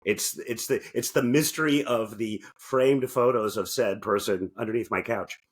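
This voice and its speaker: A man's voice: on the low side, moderate pace, breathy edge. A man co-hosting a podcast in casual, comedic chat, joking and often interrupting himself. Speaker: podcast co-host.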